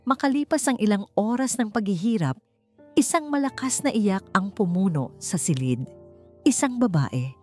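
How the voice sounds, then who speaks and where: A woman reads scripted, dramatic romance narration in a measured manner. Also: mid-range voice, lively intonation, warm tone. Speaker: story narrator. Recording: music behind the voice. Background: background music.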